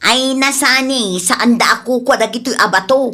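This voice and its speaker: A woman's voice: on the high side, moderate pace, bright, forceful tone. A woman playing a stubborn, grabby village housewife who argues back in whining retorts, in a comedic radio drama. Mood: indignant, whining.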